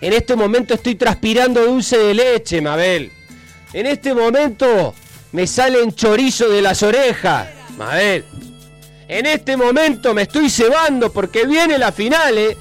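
A man with a high-pitched voice and a Rioplatense accent hosts a live call-in radio show, playful and excitable. Faint music plays behind him. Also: unhurried. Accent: Rioplatense Spanish. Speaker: radio host.

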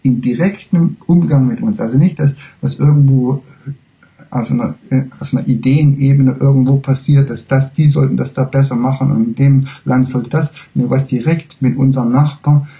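A man giving a freely spoken spiritual lecture, haltingly, often searching for words and correcting himself. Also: mid-range voice, steady tone, gravelly, mellow, poor audio.

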